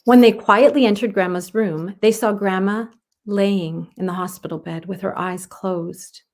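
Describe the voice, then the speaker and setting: A woman reading a children's story aloud as narrator, in an expressive style. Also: medium pitch, measured pace.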